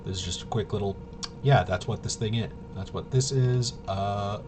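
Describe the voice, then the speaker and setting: Low-voiced man rambling, noisy recording. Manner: halting, rambling, thinking aloud. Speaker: tabletop RPG reviewer and explainer. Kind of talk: casual unscripted rules walkthrough. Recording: clearly noisy with low rumble.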